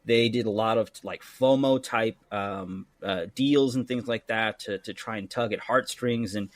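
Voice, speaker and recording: A man's voice: lowish voice, unhurried, no room sound. A man guesting on a casual podcast about his hot sauce business, talking candidly and bluntly with plenty of fillers and restarts, and acting out the conversations he recounts. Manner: candid and blunt, many fillers and restarts, acts out quoted exchanges.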